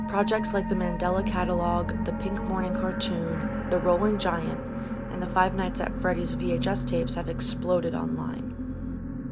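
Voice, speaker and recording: A woman reading scripted video essay narration in a measured, deliberate way. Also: lowish voice, gravelly. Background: music under the voice.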